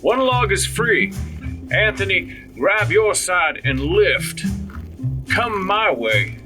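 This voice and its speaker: A man acts a practical family father in a radio-style drama. His voice sits a little high and he directs others briskly. Music plays clearly behind him. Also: very animated, heavily acted. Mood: steady, directing. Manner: brisk, instructing. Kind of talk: audio drama dialogue.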